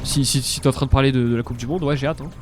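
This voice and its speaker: A man's voice: medium pitch, steady tone, rapid-fire, a little mellow, faint music behind. A young man with a congested nose, chatting casually and hesitantly as he streams a game. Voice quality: congested, blocked-nose sound. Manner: hesitant, repeats words, mild swearing. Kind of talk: casual live stream chat over gameplay.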